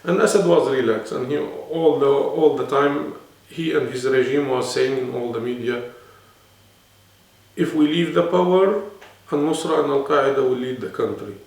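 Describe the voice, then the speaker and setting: A man's voice: mid-range voice, moderate pace, gravelly, a little mellow. Earnest Arabic-accented man, echoey room. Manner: earnest, explanatory, broken English phrasing. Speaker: interview guest, poet. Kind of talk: serious conversational interview. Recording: echoey room, mic at a distance.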